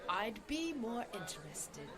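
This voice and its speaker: A woman's voice: medium pitch, big pitch swings, slow pace, crowd noise. A woman playing a devout, formal healer with proper speech in a comedy radio play. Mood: coy, hopeful.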